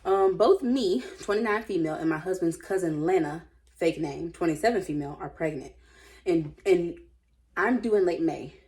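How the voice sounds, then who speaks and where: Low-voiced woman, casual and slangy. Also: moderate pace, darkish tone. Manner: informal, slangy, opinionated, musing aloud. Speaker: host reading and commenting on online stories. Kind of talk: casual reading aloud with chatty commentary.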